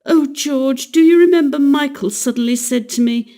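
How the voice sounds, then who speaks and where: A woman voicing a gentle, placid young mother who speaks softly and fondly. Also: sits high, normal speed, pitched above the performer's own voice, close to an everyday voice. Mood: wistful.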